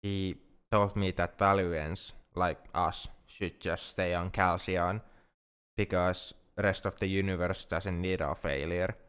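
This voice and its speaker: A man playing an anxious, lovesick alien friend in an ASMR roleplay, speaking in a halting, earnest, formally worded way. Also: low-pitched, fairly even intonation, fairly bright tone, clear, resonant tone. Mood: bitter, pained. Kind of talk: ASMR roleplay, intimate dramatic monologue.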